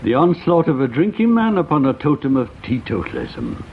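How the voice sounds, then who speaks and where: A thin, band-limited radio recording of an urbane English gentleman. He is wry and bookish, choosing words precisely, with very swooping, animated intonation. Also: medium pitch, brisk, narrow, tinny audio, a little hiss or hum. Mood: wryly amused. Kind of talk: radio drama acting.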